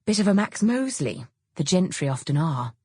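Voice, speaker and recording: A woman's voice: lowish voice, sing-song, moderate pace, mellow, slightly rough, no echo. A woman voicing a witty, mischievous bohemian female friend in an audiobook, with a dry, teasing, theatrical drawl. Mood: teasing, flirtatious. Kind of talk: audiobook character dialogue.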